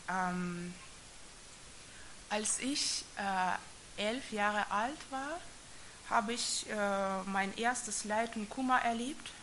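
A woman from the former Soviet Union speaks German, which is not her first language, as she shares her personal faith story with a congregation. She talks in a hesitant, halting way and often breaks off to restart her words. Her voice sits at a middle pitch, with a faint hiss in the recording. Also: a bit bright, poor audio. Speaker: church member giving testimony. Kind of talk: personal faith testimony with scripture reading.

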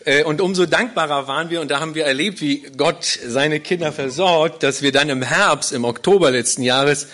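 A man's voice: a little high, moderate pace, few pauses, a bit bright. A man in his forties from Berlin, a guest pastor speaking to a congregation in an earnest, explanatory way. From Berlin, Germany. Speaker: guest pastor leading a small church plant. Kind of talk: live interview, personal testimony to a congregation.

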